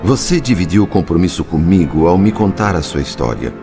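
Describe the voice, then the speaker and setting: Compassionate male spirit helper played by a man, low voice with animated melody, solemn and consoling, dramatized audiobook. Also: brisk, gravelly, over music, exaggerated voice. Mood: earnest resolve.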